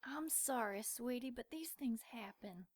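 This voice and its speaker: A tender, upset woman in an audio drama emergency. Her high voice moves widely in pitch and she speaks slowly, plainly shaken. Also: lively intonation, husky. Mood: sad, soothing.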